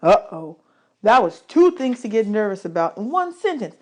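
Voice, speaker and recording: A woman's voice: medium pitch, big pitch swings, moderate pace, natural voice. Woman reading as a worried little boy from a kids audiobook, folksy, earnest, imaginative. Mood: nervous, animated. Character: nervous, imaginative, polite young orphan boy narrator. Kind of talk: children's audiobook character.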